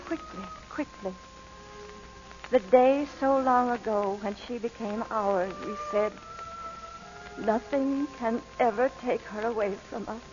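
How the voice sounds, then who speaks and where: A muffled vintage radio recording with music underneath. a bereaved mother who speaks very slowly and solemnly, as in a letter read aloud. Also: a little high, darkish tone. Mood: mournful. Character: grieving mother reading out her solemn letter. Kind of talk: solemn 1940s radio monologue.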